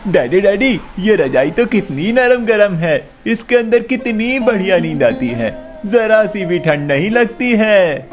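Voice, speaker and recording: A man's voice: high-pitched, big pitch swings, unhurried, few pauses, soft background music. A man voicing an innocent little boy in a cartoon story, childlike and whiny.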